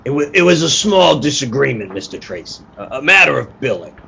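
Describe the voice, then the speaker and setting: A man playing a nervous, deferential circus boss in an old-time radio play, with a husky voice and a polite, placating manner. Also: medium pitch, very animated, a little fast, sharp tone, full character voice. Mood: uneasy.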